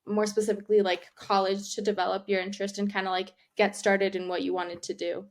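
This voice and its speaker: A woman's voice: medium pitch, little pitch movement, brisk. A young woman interviewing a professor, asking questions casually with frequent filler words.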